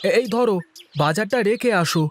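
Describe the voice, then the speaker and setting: A man's voice: high voice, lively intonation, moderate pace, a little hiss or hum. A man voicing a defiant, doting young village husband, the younger brother, in cartoon dialogue. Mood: eager, affectionate. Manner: argumentative, protective of his wife.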